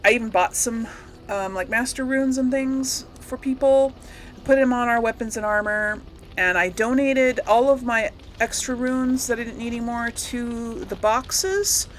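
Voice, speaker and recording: A woman's voice: a little high, fairly even intonation, measured pace, a little hiss or hum, low-quality recording. A woman giving casual, rambling let's-play commentary to viewers, thinking aloud as she goes. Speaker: gaming video host.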